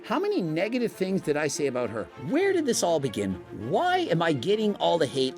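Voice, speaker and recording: A squeaky-voiced man rants indignantly, high for a man and swinging wildly in pitch. There is a gravelly creak in the voice and faint music behind it. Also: a little fast. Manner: animated, indignant, exclamatory. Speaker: fitness coach and online personality. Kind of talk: combative video rant.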